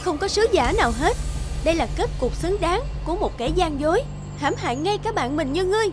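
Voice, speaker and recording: A woman's voice: very high voice, lively intonation, a little fast, music in the background. A woman voicing a stern little spring fairy in a cartoon. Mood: scolding. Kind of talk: animated fairy-tale dubbing.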